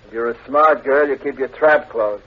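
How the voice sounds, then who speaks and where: An actor playing a curt, bullying older crime boss in a vintage radio crime drama, talking in threatening slang. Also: male voice, medium pitch, moderate pace, close to an everyday voice. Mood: menacing. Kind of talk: 1940s-50s radio crime drama acting. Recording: tinny, hissy vintage radio recording.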